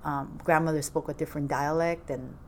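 A woman, speaking haltingly and softly as an interviewee recalling her family's history. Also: low voice, steady tone, a little hiss or hum. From Vietnam. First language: Cantonese. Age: 40s. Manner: halting, soft-spoken, frequent laughs.

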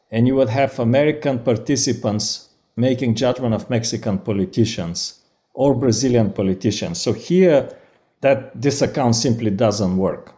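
A man's voice: medium pitch, mellow. A man with a Bulgarian accent, a researcher explaining studies in an interview, academic in manner with frequent fillers. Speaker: guest researcher. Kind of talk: interview answers.

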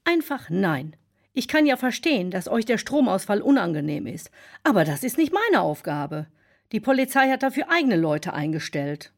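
A woman's voice: mid-range voice, big pitch swings, moderate pace. An actress playing a curt, stern, stubborn older woman in a German children's audio drama. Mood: stubborn refusal. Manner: curt, blunt.

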